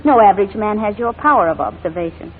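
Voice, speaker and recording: A gentle, earnest wife played by a woman in a 1940s radio play. Her mid-pitched voice is affectionate and pleading, heard through narrow, faintly noisy old broadcast sound. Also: expressive, fast talker, natural voice. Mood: tender. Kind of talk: 1940s radio drama acting.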